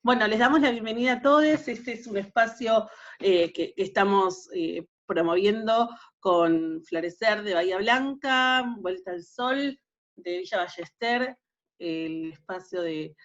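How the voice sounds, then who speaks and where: A woman hosting an online talk on a video call, welcoming and measured, with many filler pauses. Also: mid-range voice, a bit bright, lo-fi sound. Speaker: host, moderator.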